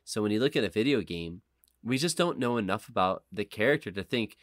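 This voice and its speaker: A man co-hosting a podcast in casual, opinionated chat, emphatic, rambling and quick to swear. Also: fairly low pitch, animated, no echo, clean studio sound. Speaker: podcast co-host. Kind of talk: casual chat, opinionated film and game discussion.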